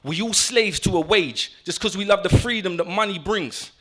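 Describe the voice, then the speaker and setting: Man, high voice, rhythmic spoken word poet. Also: normal speed, a bit bright. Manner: rhythmic, punchy list delivery. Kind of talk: spoken word poetry performance.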